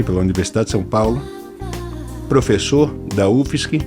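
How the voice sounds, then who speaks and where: A man hosting a podcast interview, chatty and informal. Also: lowish voice. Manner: chatty, informal, repeats words while thinking. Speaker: podcast host.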